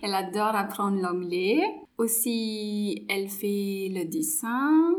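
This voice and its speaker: Young woman from India, Marathi mother tongue, unhurried and halting, mid-range voice, thinking aloud. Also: medium pitch. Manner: hesitant, thinking aloud with frequent pauses. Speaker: psychology master's student and volunteer mentor. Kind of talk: casual interview chat.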